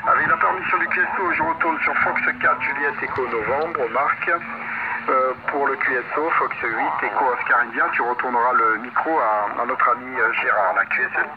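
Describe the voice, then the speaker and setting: A man's voice: mid-range voice, moderate pace. A middle-aged man chatting casually as a ham radio operator over shortwave, repeating himself in encouraging chatter. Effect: shortwave radio transmission. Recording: distant, dull, very noisy shortwave radio.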